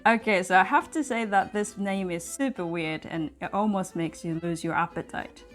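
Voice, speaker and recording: A woman's voice: fairly low pitch, moderate pace, fairly bright tone. A woman from Japan with a Japanese accent, hosting a casual chat in English for language learners. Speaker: host. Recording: music behind the voice. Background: guitar music.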